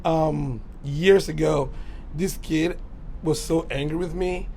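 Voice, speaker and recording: A man's voice: fairly high pitch, fairly even intonation, slow, creaky, warm tone, slight background noise. A man in his fifties from El Salvador, a teacher and soccer coach, talking candidly in a casual interview.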